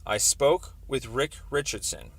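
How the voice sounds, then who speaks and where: A man on a recorded phone call explaining a complaint as a caller, in a halting, wordy, roundabout way. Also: medium pitch, breathy edge. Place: telephone call.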